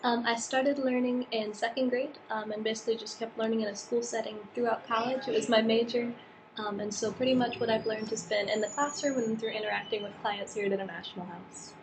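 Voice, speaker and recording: A woman's voice: fairly high pitch, steady tone, fairly quick, vocal fry. A young adult woman giving a casual self-introduction in a group training session. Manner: plain and casual with fillers. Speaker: staff member in training. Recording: background noise in a room.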